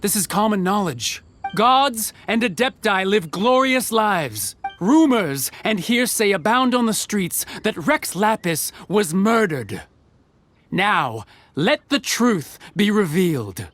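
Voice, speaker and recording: A grand, solemn town crier from a fantasy game. His declamatory voice is high for a man and unhurried, with faint music behind it. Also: fairly bright tone, full character voice. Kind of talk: video game voice acting.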